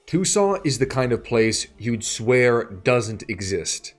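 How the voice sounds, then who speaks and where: A man narrating a scripted video essay in a measured way, pausing between short phrases. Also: mid-range voice, very animated. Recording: music bed behind the voice. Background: background music.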